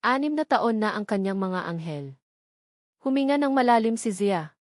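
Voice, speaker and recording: A woman with a Filipino accent reading scripted romantic drama narration in a measured, emotive way. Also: medium pitch, lively intonation, no echo, studio quality. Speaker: audiobook story narrator.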